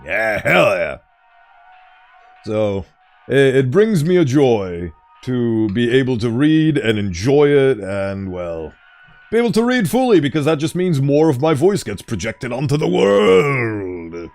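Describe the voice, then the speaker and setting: A man with a smooth voice streaming a game, chatting casually and playfully reading game text aloud in a mock-formal tone. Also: mid-range voice, big pitch swings, a little slow, darkish tone, soft background music.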